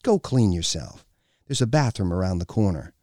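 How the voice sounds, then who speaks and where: A man's voice: deep voice, sing-song, moderate pace, warm tone, dry recording, clean studio sound. A man voicing a stern, domineering foreign woman in an audiobook, with a commanding, clipped and scornful delivery. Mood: disdainful. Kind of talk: audiobook character dialogue.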